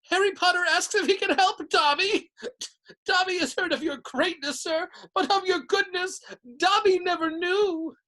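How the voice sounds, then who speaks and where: A woman reading a story aloud and voicing a small, earnest male house elf in a high-pitched voice, humble and over-polite, calling everyone sir. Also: lively intonation, normal speed, a bit bright. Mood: wailing.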